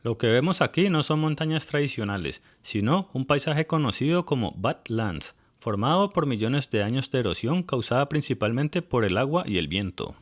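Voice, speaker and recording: A man's voice: medium pitch, lively intonation, moderate pace, hardly any pauses. A man reading a polished, lightly witty scripted voice-over for a travel video. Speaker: narrator.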